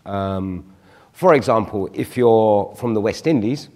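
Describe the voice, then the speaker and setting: Low-voiced man explaining on a studio panel. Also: unhurried, a little mellow, in a large hall. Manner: reflective, explains with examples and asides. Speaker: panel guest. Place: TV studio stage.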